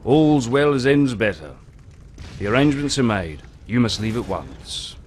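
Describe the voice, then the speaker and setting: An actor playing a sly, flippant rogue pirate, droll and wordy, in a video game. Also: male voice, medium pitch, big pitch swings, normal speed, over music, lightly acted. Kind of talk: video game cutscene acting.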